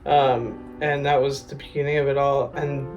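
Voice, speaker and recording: A man telling the story of how he met his partner in a relaxed, casual way, with some laughter. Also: medium pitch, normal speed, distant mic, lo-fi sound. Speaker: interviewee. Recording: voice a bit off-mic with soft music. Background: soft guitar music.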